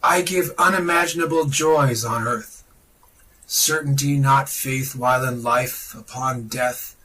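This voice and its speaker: A man's voice: mid-range voice, a little slow, sharp tone, distant mic, rough recording. A man giving a solemn, oratorical reading of a scripted religious text, declamatory and reverent, with emphatic phrasing. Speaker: reader of a religious text on a podcast.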